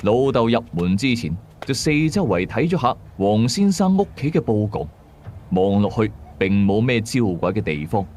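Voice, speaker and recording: Low, expressive male storyteller. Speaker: audio drama narrator. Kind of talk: scripted first-person horror story narration. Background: background music.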